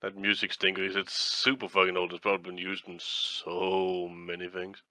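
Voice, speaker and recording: A man's voice: low voice, level tone, moderate pace, bright, forceful tone, no room sound. A man chatting casually while playing a game, rambling, swearing often and trailing off mid-sentence. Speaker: let's play co-host. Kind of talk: casual gaming chat.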